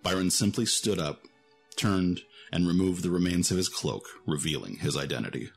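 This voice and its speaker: A man with a deep voice narrates a scripted fantasy tale. He works as the storyteller, and music plays clearly behind him. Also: normal speed.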